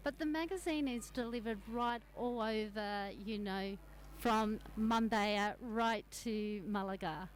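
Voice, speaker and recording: A woman's voice: a little high, slow. An Australian woman, a travel journalist, chats as a guest in a radio interview. She rambles with plenty of fillers and restarts. There's a faint hiss of background noise on the recording.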